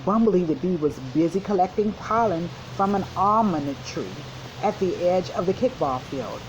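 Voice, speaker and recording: A woman's voice: lowish voice, lively intonation, moderate pace, slight background noise, low-quality recording. A woman school counselor reading a storybook to children and explaining it in an instructive way. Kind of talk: children's storybook read-aloud with commentary.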